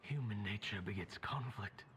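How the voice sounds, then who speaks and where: A man voicing an ominous, brooding, disembodied figure in a video game, in a grave, breathy whisper. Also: lowish voice, normal speed, fairly bright tone, a little hiss or hum, close to an everyday voice. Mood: bleak, foreboding. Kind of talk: video game character acting.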